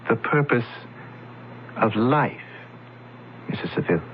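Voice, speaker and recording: A man's voice: mid-range voice, expressive, measured pace, slight background noise, natural voice. An actor playing a stern, principled male alien in a radio drama, formal and declarative. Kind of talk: 1970s radio drama acting. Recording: tinny lo-fi old radio broadcast.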